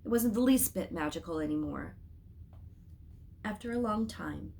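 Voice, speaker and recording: A woman reading a children's story aloud as an expressive storyteller, with dramatic, emphatic phrasing. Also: on the low side, normal speed, vocal fry.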